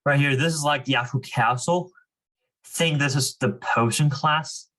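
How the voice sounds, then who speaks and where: Slow-paced young man leading a kids' reading club. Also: a little high, a bit bright. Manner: informal, frequent "like" and "you know". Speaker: student reading club leader. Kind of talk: casual explaining and reading aloud to children.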